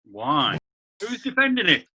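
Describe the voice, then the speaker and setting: An actor playing a wry, curious judge. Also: male voice, high voice, wildly swooping pitch, unhurried, bright, forceful tone, husky, exaggerated voice. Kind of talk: amateur audio drama acting.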